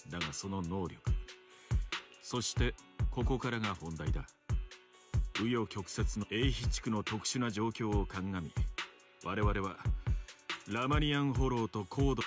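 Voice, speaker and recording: A man's voice: on the low side, close to an everyday voice. An actor playing a formal, authoritative male investigator who delivers a case briefing in a video game. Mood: formal, serious. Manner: formal, measured wording, businesslike. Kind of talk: Japanese game voice acting. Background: game music.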